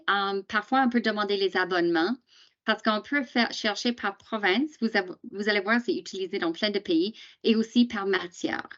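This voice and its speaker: A woman's voice: medium pitch, muffled recording. A woman whose first language is English, presenting a screen-shared webinar for teachers in a chatty way with many fillers and questions to attendees.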